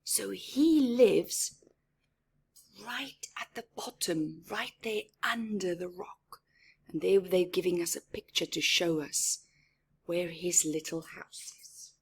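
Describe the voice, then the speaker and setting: A woman reads to young children at a very unhurried pace. Her voice is husky and breathy, and she stresses words deliberately. She chats to her little listeners with simple explanations between the lines of the picture book. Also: lowish voice. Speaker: storytime reader and teacher. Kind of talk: children's picture-book read-aloud with chatty asides.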